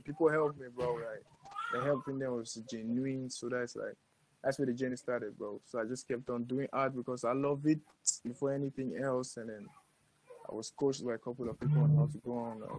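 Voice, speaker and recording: Cameroonian man, mid-pitched, informal interview guest with slangy, brotherly phrasing. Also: noisy background. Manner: informal, slangy, frequently says bro and man. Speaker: guest, digital artist. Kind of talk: casual interview chat.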